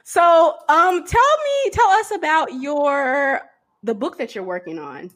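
A woman host speaks in a thoughtful, unhurried way and often pauses on fillers as she works through her ideas. She keeps a relaxed, casual conversational tone. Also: high voice. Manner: reflective, halting, many fillers. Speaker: podcast host.

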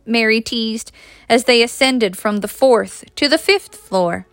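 A woman's voice: fairly high pitch, a little slow, a bit bright, soft background music. A woman reading a scripted story aloud as a narrator.

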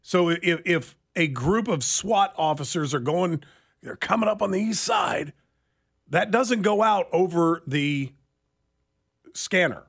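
A man's voice: high voice, animated, measured pace, creaky, a bit bright, slightly rough. An American man hosting a radio talk show and taking calls, speaking into a close studio microphone.

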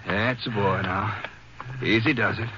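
A man's voice: on the low side, fairly even intonation, measured pace, close to an everyday voice. An actor in an old radio western playing a watchful, coaxing lawman. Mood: soothing. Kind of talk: 1950s radio western acting. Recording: muffled, noisy old radio recording.

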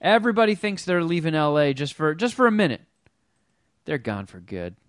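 A man co-hosting a podcast in casual chat, wry and deadpan, with some swearing. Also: on the high side, fairly quick, no room sound, studio quality. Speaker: podcast co-host, screenwriter.

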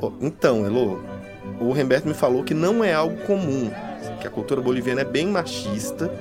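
A male reporter narrates a documentary podcast in a measured, explanatory way and talks with his co-host. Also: mid-range voice, expressive, over music.

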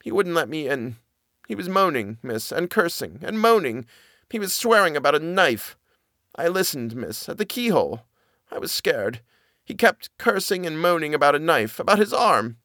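Brief: male reader doing a jittery middle-aged housekeeper, high and halting, dry close sound. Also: big pitch swings, bright, forceful tone, no room sound, full character voice. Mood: fearful. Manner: halting, stammering, plain servant speech. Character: frightened old nanny, a middle-aged housekeeper woman.

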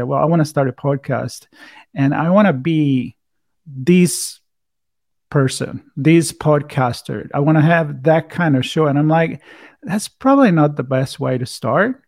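Italian guy hosting a podcast, kinda higher voice, restarts his sentences, dry mic. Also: darkish tone, no room sound. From Italy. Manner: searching phrasing, self-correcting. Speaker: podcast host. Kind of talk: casual conversational interview.

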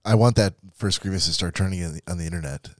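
Low-voiced man reading show plugs. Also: fast, no room sound, clean studio sound. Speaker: podcast host. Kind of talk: casual podcast chat and show plugs.

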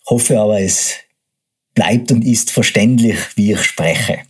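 A man's voice: fairly low pitch, slow, breathy edge. A man with a Tyrolean German accent hosting a solo podcast, explaining things step by step in an informal way. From Tyrol, Austria. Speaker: podcast host, agency co-founder.

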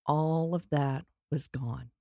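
A woman's voice: low voice, steady tone, slow pace, creaky, mellow, no room sound, studio quality. A middle-aged woman with a Texan accent telling her personal story in a candid, earnest way, with touches of self-deprecating humor. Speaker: speaker sharing her life story.

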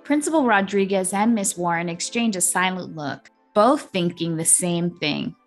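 A woman's voice: lowish voice, expressive, normal speed, faint music behind. A woman reading a story aloud as an amateur narrator.

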